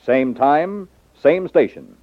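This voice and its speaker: A man's voice: fairly high pitch, lively intonation, slow pace, no room sound. A man announcing in the formal style of 1950s radio. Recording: tinny, dry 1950s radio recording with music.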